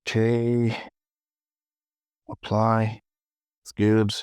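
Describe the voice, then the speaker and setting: A man giving a casual software tutorial, talking through his work in short, informal instructions as he goes. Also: fairly low pitch, monotone, very slow, clear, resonant tone, no echo. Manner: informal, muttered short instructions to self and viewer. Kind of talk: casual screen-recorded software tutorial, talking while working.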